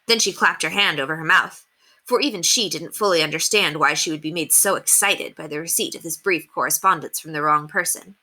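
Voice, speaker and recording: A woman reading a children's novel aloud as an expressive storyteller. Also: deep voice, a little fast. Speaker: read-aloud storyteller at an elementary school.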